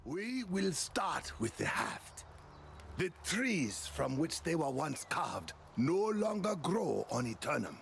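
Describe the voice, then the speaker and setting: A man playing a solemn keeper of ancient lore in a fantasy video game, speaking in a grave, measured, portentous way. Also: fairly high pitch, very animated, vocal fry, slight background noise, full character voice. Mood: grave, foreboding. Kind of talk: video game dialogue acting.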